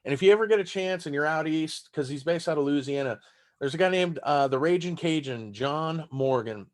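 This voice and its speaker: A man's voice: fairly high pitch, a little fast. A man in his thirties from Texas, whose first language is German, talking in a rambling, casual way as a comedian guest on a podcast, swearing freely.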